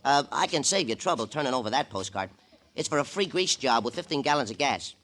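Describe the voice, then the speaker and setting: An actor playing an eager, nosy, breezy post office clerk, a little self-important, in a 1950s radio crime drama. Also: male voice, mid-range voice, animated, brisk, fairly bright tone, natural voice. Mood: nosy, chatty.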